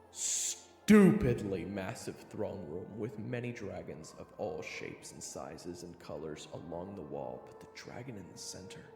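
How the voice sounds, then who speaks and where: A man's voice: low voice, gravelly, reverberant, in a large hall, heavily acted. A man playing a brash, sarcastic young hero who also narrates a fantasy audio drama in blunt first person.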